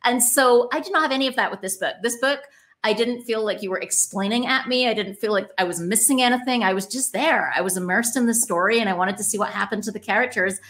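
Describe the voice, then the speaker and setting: A woman's voice: a little high, fast, fairly bright tone. A woman hosting a casual podcast interview, chatting in an enthusiastic, reflective way. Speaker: podcast host interviewing an author.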